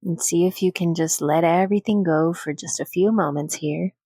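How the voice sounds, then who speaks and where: A woman leading a guided meditation in a gentle, soothing, unhurried manner with long pauses between phrases. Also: on the low side, slightly rough, dry recording, clean studio sound.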